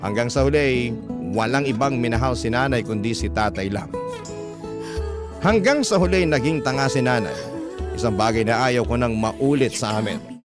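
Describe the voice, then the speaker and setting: A Filipino man reads a first-person letter as a radio storyteller. His voice sits at a middle pitch, with dramatic scripted delivery. Music plays clearly behind him. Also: normal speed. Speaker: radio story narrator reading a listener's letter. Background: music bed.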